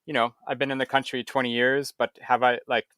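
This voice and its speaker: A man from Ohio, speaking as a podcast guest, relaxed and self-deprecating, laughing often and restarting his sentences. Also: medium pitch, a little fast. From Ohio, USA. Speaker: guest, juggler. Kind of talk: casual interview chat.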